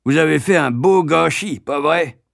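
Male reader as aggrieved country egg seller, colloquial, complaining, pitched high, dry sound. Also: measured pace, gravelly, fairly bright tone, dry recording, heavily acted. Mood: sardonic. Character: grumbling moustached cart-driving male egg seller.

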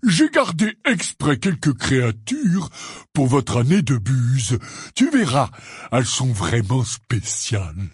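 A man acts out a huge, hearty gamekeeper from a storybook. The voice is rough and husky, with a slow, blustery drawl that swings widely in pitch. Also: very animated, dark tone, no room sound, clean studio sound. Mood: enthusiastic. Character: big, hearty, stubborn, good-natured gamekeeper teacher.

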